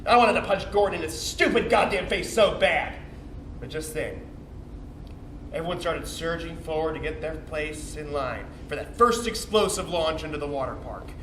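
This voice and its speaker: Actor as high-voiced, foul-mouthed young bro sperm cell. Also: male voice, lively intonation, normal speed, a bit bright. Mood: resentful, then excited, awed. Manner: foul-mouthed, slangy, defensive, dramatic. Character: cocky, bitter young male sperm cell, swearing bro. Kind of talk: theatrical comic monologue, confessional storytelling to audience. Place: indoor theatre stage, recorded from a distance. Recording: roomy, distant stage recording with faint noise.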